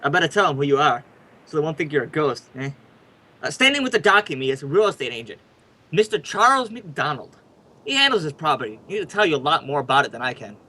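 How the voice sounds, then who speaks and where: A man's voice: on the high side, sing-song, fast talker, sharp tone, exaggerated voice. A man acting a brash, wisecracking ghost hunter who hosts a radio program, in a chatty, showy old-time radio drama style. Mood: brisk, wry.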